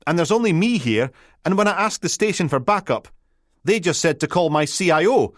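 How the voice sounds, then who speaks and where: A man doing a high-pitched rambling young policewoman. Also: lively intonation, brisk, dry recording, clean studio sound, lightly acted. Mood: flustered. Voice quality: breathless rambling chatter. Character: young woman constable, eager, rambling.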